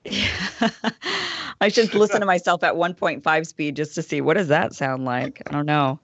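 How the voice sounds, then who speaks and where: A woman guest and show host talking in a relaxed, chatty way with many fillers and self-corrections; she has a fairly deep voice. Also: normal speed, no echo, muffled recording. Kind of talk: casual chat.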